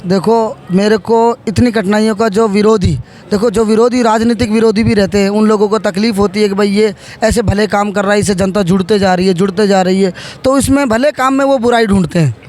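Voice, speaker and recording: High-pitched man in his thirties. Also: fairly even intonation, a little fast, few pauses, darkish tone. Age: 30s. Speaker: politician, interviewee. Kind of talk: interview answers.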